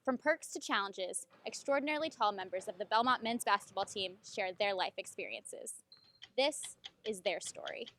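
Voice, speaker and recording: A woman's voice: mid-range voice, animated, normal speed, a bit bright, slight background noise. A woman interviewing people and narrating as a reporter in a mock investigative report.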